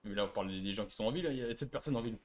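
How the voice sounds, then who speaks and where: A man's voice: lowish voice, rapid-fire, darkish tone. A man bickering casually as a gaming streamer, sarcastic, provocative and nitpicking. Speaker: gaming streamer trolling players. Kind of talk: casual bickering in online roleplay game.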